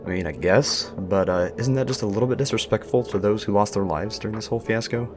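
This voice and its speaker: A man's voice: on the low side, fast. A man hosting a casual interview, speaking politely and a little hesitantly. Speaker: talk show host, interviewer. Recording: music under the voice.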